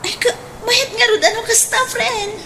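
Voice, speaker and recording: Eager, exclamatory Ilocano grown daughter. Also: female voice, very high voice, normal speed. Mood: excited. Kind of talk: radio drama acting. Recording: faint background noise.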